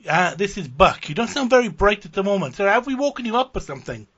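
A man with a Welsh accent making a prank phone call, deadpan and mock-earnest about absurd plans. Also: high voice, fast talker, a little hiss or hum. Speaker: prank caller. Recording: dull lo-fi audio.

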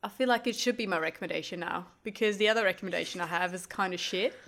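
A woman's voice: fairly low pitch, quick pace, a bit bright. A young woman co-hosting a podcast while a little nasal from a cold, chatting in a wry, easygoing way. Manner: wry, self-deprecating, easygoing. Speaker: podcast co-host. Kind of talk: casual chat.

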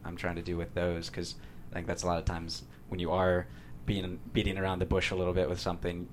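A man's voice: sits low, monotone, fast, slight background noise. An American man, a writer being interviewed, explaining his thinking casually and thoughtfully with some hedging. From San Diego, USA.

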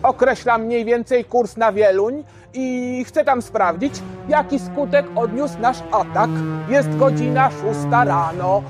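An actor playing a German bomber pilot, reading a memoir in a deliberate, detached way, coldly fascinated by destruction. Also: male voice, very high voice, animated, over music, exaggerated voice. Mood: detached, awed. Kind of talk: dramatised memoir reading in an audio drama.

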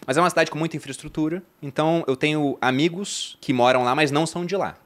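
Brazilian man, mid-range voice, very fast casual panel chat. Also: a little high. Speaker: podcast panelist, former soldier.

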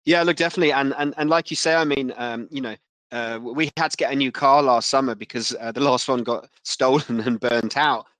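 A man hosting a casual interview, halting and repeating words as he forms his thoughts. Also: medium pitch, a bit bright, no room sound. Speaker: podcast host.

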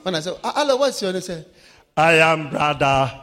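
A man from Africa preaching a sermon, swinging between shouted lines and low, quiet asides. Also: very animated, normal speed. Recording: live sermon with long hall reverb.

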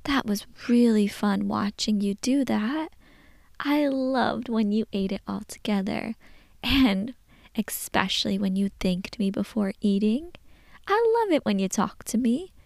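Lady whispering as a gentle jesus writing to a kid, slow soft whisper, close dry sound. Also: medium pitch, animated, gravelly, no echo. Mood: tender. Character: gentle loving male Jesus writing to a child.

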